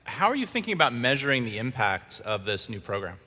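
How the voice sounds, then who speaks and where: A man's voice: medium pitch, sing-song, fast, bright, forceful tone. A man presenting a tech keynote on stage and interviewing a guest, upbeat and jokey, often restarting his phrases. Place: large conference hall stage. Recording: long hall reverb.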